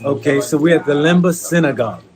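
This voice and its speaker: A man's voice: fairly high pitch, quick pace, a crowd in the background. Man asking short interview questions. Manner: brief, prompting questions.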